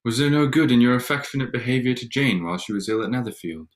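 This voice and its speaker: A man playing a proud, reserved Regency suitor in a staged reading, formal, restrained and a little halting. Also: mid-range voice, animated, lightly acted. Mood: sincere, restrained. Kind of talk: staged reading of a period drama.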